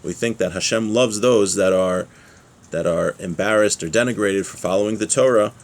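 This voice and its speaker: Male religious teacher, lowish pitch, reads text aloud and explains it plainly. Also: moderate pace. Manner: explains plainly in everyday examples.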